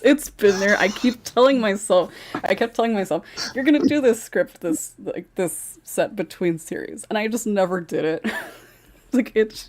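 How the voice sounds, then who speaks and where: A woman's voice: on the low side, darkish tone. A woman moderating a casual podcast discussion, often laughing as she talks. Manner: laughs often while talking. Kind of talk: casual chat.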